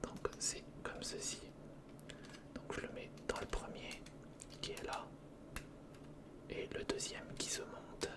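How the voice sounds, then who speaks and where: A man whispering an informal ASMR narration of hands-on work, thinking aloud and softly repeating words, close to the microphone. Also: takes it slowly, pauses often. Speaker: ASMR video creator. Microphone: close recorder or studio microphone.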